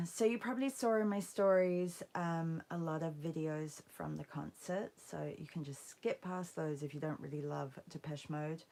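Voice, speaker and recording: A woman with a hoarse, croaky voice after a concert, chatting casually with viewers as she hosts an art livestream. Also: low voice, normal speed, dark tone. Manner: chatty, greets viewers by name. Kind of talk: casual livestream chat while painting.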